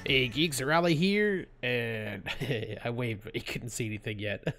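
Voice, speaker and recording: A man's voice: medium pitch, a little slow, over loud music. A man with a stuffy cold hosting his gaming channel, chatting casually over gameplay, laughing often and full of fillers and restarts.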